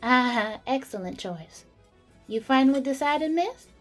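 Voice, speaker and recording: A woman's voice: high-pitched, expressive, unhurried. A woman playing a busy, polite and chatty tavern serving woman in a fantasy audio drama. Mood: chatty, attentive. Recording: background music under the voice.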